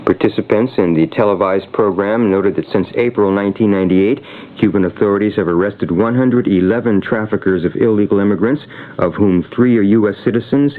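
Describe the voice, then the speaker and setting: Male radio newsreader, lowish pitch, formal and measured scripted delivery, heard through thin shortwave band. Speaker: radio news bulletin reader. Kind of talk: scripted news reading. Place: radio studio. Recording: narrow tinny shortwave radio broadcast.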